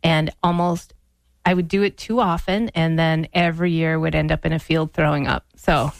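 Soft-spoken Midwestern radio co-host woman. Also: deep voice, fairly even intonation, normal speed, gravelly, no echo. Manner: soft-spoken, chatty, self-deprecating. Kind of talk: casual morning radio chat. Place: radio studio. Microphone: studio microphone.

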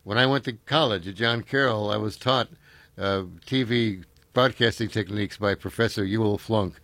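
A man's voice: fairly low pitch, moderate pace, fairly bright tone. An older man, hosting a casual chat and reminiscing in a rambling way, full of fillers and restarts.

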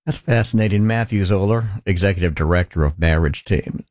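Low-voiced male radio host, dry studio. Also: fairly quick, a little mellow, dry recording. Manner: smooth announcer delivery, brief scripted intros. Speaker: radio show host, interviewer. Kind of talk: radio interview hosting.

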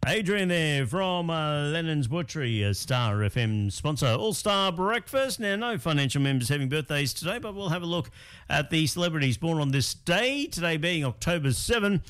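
A man with an Australian accent hosting a local breakfast radio show, relaxed and unhurried, often pausing with fillers as he reads through the birthday list. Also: fairly high pitch, clean tone. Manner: relaxed, with frequent fillers and pauses.

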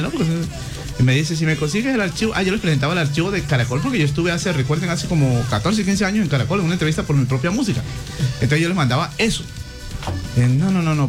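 A man, a singer telling his story in a casual interview, animated and quoting others. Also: on the high side. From Chiriguaná, Cesar, Colombia. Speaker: singer guest.

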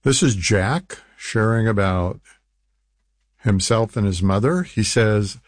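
A man with a fairly low voice, recorded close and dry. He presents like a health radio host selling supplements, deliberate and emphatic, leaning on key phrases and repeating them. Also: no room sound. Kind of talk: infomercial radio talk, reading testimonials.

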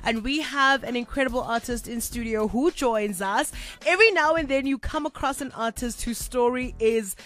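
Enthusiastic high-voiced woman radio host. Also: normal speed. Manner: warm-hearted, enthusiastic, affirming. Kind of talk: radio interview. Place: radio studio.

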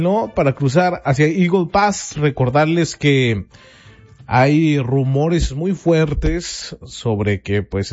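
A man's voice: a little high, darkish tone, dry recording, lo-fi sound. A man presenting a local news bulletin in a conversational way, speaking straight to the listener and leaning on fillers. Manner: chatty, filler-heavy, addresses listener directly.

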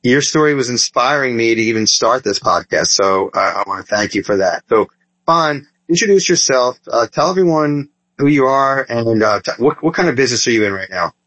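A man's voice: medium pitch, expressive, brisk, fairly bright tone, rough recording. A man hosting a podcast, talking in a conversational interview style. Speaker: podcast host.

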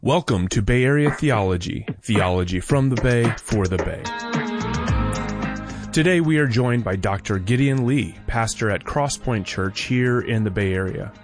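A man's voice: on the low side, lively intonation, a little slow. Male radio host reading over faint music. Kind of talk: scripted introduction narration.

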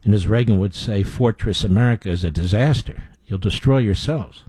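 A man's voice: fairly low pitch, brisk, mellow, breathy edge, no echo. A man hosting a talk radio show, giving blunt, scornful commentary with frequent fillers.

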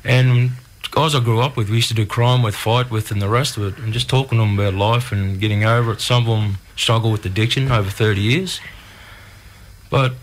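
A man's voice: on the low side, fairly even intonation, brisk, slight background noise. A middle-aged man with an Australian accent, talking casually and candidly in a plain, colloquial way as an interview guest. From Ipswich region, Australia. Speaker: community group founder, interview guest.

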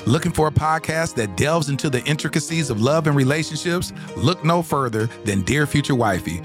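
A man voicing a scripted podcast promo in a smooth, even announcer read. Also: on the high side, a little fast. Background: music.

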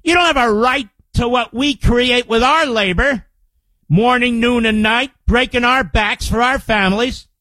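High-pitched, combative American talk radio man. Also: unhurried, bright, forceful tone, dry recording. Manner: combative, emphatic, repeats phrases for effect. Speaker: radio talk show host. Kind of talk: political monologue on talk radio. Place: radio studio. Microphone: close studio microphone.

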